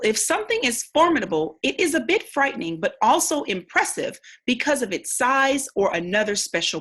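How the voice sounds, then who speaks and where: A woman reading a storybook aloud like a teacher, pausing to think aloud and explain. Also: medium pitch, a bit bright.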